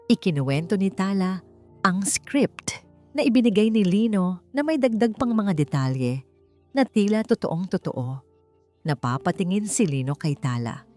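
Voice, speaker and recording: Expressive low-voiced Filipino woman narrator. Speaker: story narrator. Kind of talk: scripted dramatic narration of a romance story. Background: background music.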